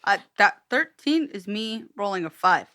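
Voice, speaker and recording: Crisp bright woman in casual table chat. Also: mid-range voice, sing-song, a little slow. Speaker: player and podcast editor.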